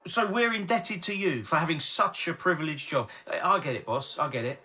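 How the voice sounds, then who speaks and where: A man's voice: a little high, expressive, brisk, pushed and bright. An actor playing a weary technician who grumbles sarcastically at his boss. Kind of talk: radio drama acting. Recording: roomy echo.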